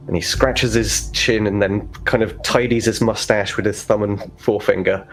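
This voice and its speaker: A man's voice: on the low side, steady tone, normal speed, faint music behind, poor audio. A man running a tabletop roleplaying game, narrating and asking players questions in a casual conversational way. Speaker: game master.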